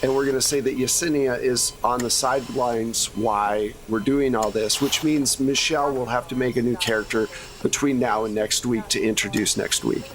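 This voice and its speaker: A man's voice: medium pitch, steady tone, moderate pace, slight background noise. Conversational man running a tabletop game. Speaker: game master and show host. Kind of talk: conversational game-mastering on an actual-play podcast.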